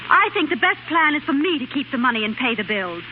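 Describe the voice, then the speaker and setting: Casting: anxious, loving young working wife, high voice, 1930s radio melodrama, heavy vintage hiss. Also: female voice, sing-song, fast. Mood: earnest, strained. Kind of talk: 1930s radio drama acting. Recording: scratchy, noisy, tinny 1930s radio recording.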